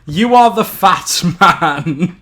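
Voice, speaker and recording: Man, high bright voice, animated, playful storyteller, mock-dramatic, casual banter. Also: drawn-out words. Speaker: host reading a story aloud. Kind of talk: story reading mixed with casual banter among friends.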